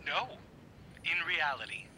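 An actor playing a suave, menacing host with mannered politeness in an audio drama. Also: male voice, fairly high pitch, animated, slow, pushed and bright, a little hiss or hum, heavily acted, low-quality recording. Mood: coolly menacing.

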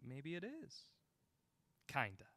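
A man's voice: a little high, huge pitch swings, slow pace, sharp tone, dry recording, full character voice. A man playing a playful, unruffled friend in an audio drama, teasing and nonchalant with a slight stammer. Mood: playful, coaxing. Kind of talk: naturalistic audio drama acting.

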